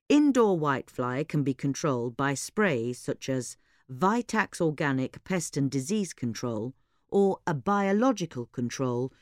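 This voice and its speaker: A woman's voice: deep voice, no echo, clean studio sound. A woman reading a scripted gardening-magazine style article as an informational narrator.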